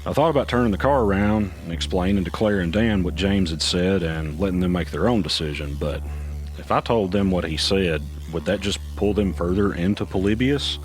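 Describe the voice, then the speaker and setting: Man as a low-voiced brooding narrator. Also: brisk, faint music behind, natural voice. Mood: brooding, tense. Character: brooding male protagonist recounting his flight. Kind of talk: scripted first-person narration.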